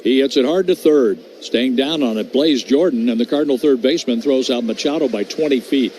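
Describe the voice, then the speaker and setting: A man working as a radio play-by-play announcer, calling baseball pitch by pitch in a brisk, steady way that rises on big plays. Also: slight background noise. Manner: brisk, steady calls that lift on hits.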